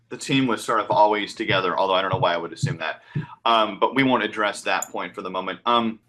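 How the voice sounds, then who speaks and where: Male interview host, lowish but bright voice, informal and rambling, often restarting his questions. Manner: hesitant, rambling, restarts mid-sentence. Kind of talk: casual interview.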